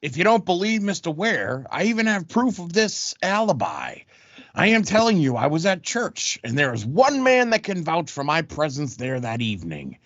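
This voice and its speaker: Slick scheming promoter played by a man, fairly high, lively pitch swings, emphatic comedy audio play role. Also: normal speed, no room sound, heavily acted. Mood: slick, insistent. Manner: emphatic, smooth-talking self-defense. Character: slick, scheming male wrestling promoter pleading innocence. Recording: dry, dull lo-fi home recording.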